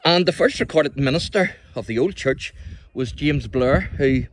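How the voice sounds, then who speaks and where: A man's voice: mid-range voice, lively intonation, measured pace. A man with a Northern Irish accent giving a chatty, informal walking tour as a local history guide, speaking outdoors into a handheld phone. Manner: chatty, addresses the viewer with tag questions. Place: outdoors in a churchyard by the sea. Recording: outdoor phone recording with background noise.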